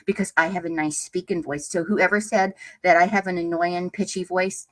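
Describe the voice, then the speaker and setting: A woman co-hosting a casual reaction chat, talking freely with lots of "like" and quick laughs. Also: on the low side, steady tone. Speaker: co-host reacting to a video.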